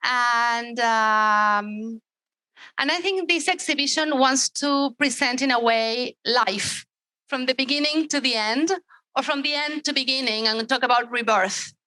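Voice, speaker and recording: Bright-voiced woman, dry sound. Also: fairly high pitch, a little slow, no room sound, low-quality recording. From Argentina. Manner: reflective and explanatory, with fillers and restarts. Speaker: exhibiting artist. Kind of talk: explaining her artwork on a gallery walkthrough.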